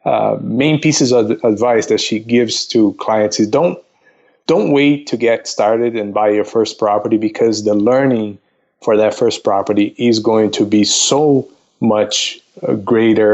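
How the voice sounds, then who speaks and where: A man speaking as a podcast guest and counselor, explaining casually and haltingly as he gives earnest advice. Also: lowish voice, a little mellow. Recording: dull, lo-fi remote audio.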